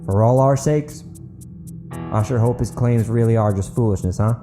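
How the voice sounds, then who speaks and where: A man voicing a composed, self-assured mayor with a polite, measured, official manner in an audiobook. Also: mid-range voice, over music, close to an everyday voice. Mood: wry. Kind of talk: dramatic audiobook character acting.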